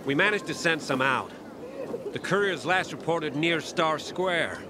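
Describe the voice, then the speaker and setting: A bitter, rattled survivor standing guard in a video game. His voice sits a little high, with clear outdoor noise around it. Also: animated, a little slow. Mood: shaken, bitter. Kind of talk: video game character acting.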